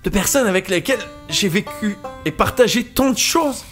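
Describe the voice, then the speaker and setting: An actor playing a scheming start-up boss in an audio comedy, pompous and unctuous, flattering rebellious staff with theatrical corporate talk. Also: medium pitch, moderate pace, over music, lightly acted. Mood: grandly flattering. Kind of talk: French amateur audio comedy acting.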